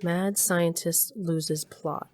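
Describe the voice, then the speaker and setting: Low-voiced, wry older woman scientist. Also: steady tone, very slow, a little mellow, slight background noise. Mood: wry, exasperated. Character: weary older woman scientist, sardonic mother and narrator. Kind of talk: first-person audio drama narration.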